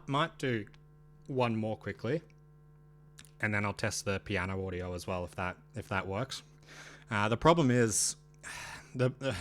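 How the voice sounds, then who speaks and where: Low-voiced hesitant male streamer. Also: fairly bright tone. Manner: hesitant, many fillers and restarts. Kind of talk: casual chat with a live stream audience. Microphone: dynamic mic on a boom arm.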